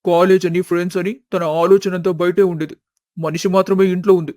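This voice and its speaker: A man's voice: high-pitched, level tone, brisk, a little mellow, dry recording, clean studio sound, natural voice. A man voicing an angry, aggrieved husband who speaks curtly and accusingly in a family story. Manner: accusing, curt.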